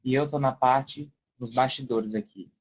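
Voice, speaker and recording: A slightly muffled podcast recording of a young Brazilian man with a medium-pitched voice. He explains his work casually, in the manner of a guest, and keeps adding little tag questions. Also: fairly even intonation, measured pace. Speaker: podcast guest, online affiliate marketer working in support. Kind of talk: casual interview talk.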